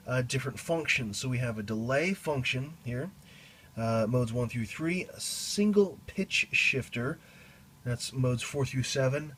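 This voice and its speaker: A man giving a casual, explanatory demonstration of a guitar effects pedal in a halting way, as a teacher would. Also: mid-range voice, animated, fairly bright tone, slight background noise. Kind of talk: casual product demo.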